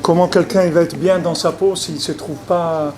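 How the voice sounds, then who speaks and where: A man's voice: a little high, steady tone, moderate pace, crowd noise. A man, a rabbi, giving a conversational public lecture, often repeating and restarting his words and checking that listeners follow. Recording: noisy lecture recording.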